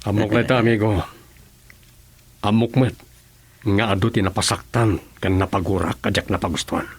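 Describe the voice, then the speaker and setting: A man's voice: fairly low pitch, fairly even intonation, moderate pace, breathy edge. A man acting a firm, principled defender of the law in an Ilocano radio drama.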